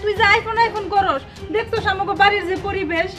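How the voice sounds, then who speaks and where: A woman's voice: extremely high pitch, normal speed, music in the background, a crowd in the background. A woman voicing a worried, reproachful poor mother in a cartoon drama, with a Bangladeshi accent and a chiding, imploring manner. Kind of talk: cartoon comedy drama dialogue.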